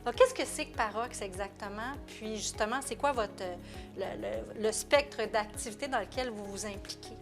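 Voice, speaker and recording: A woman's voice: a little high, normal speed, music in the background. A woman with a Québécois French accent hosting a TV interview, chatty and full of hesitations and restarts. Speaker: TV host. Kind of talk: casual interview.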